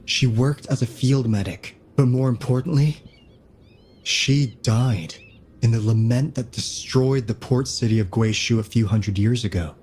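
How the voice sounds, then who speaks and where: An actor playing an earnest, friendly young comrade in a video game, forthright and husky-voiced. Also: male voice, mid-range voice, measured pace, a little mellow, slight background noise, lightly acted. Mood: serious, explanatory. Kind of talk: video game character acting.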